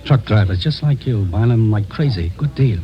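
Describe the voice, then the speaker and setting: Actor as low-voiced, chummy street peddler. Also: male voice, animated, normal speed, close to an everyday voice. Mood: coaxing. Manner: coaxing sales patter. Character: chummy male street peddler hawking cheap goods. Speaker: actor in radio drama. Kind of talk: 1940s radio acting. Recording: dull vintage radio recording with music.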